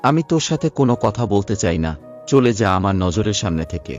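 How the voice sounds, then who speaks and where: A man's voice: fairly low pitch, very animated, a little fast, a little mellow, highs cut off, soft background music. A man voicing a harsh father in a cartoon who scornfully rejects his daughter.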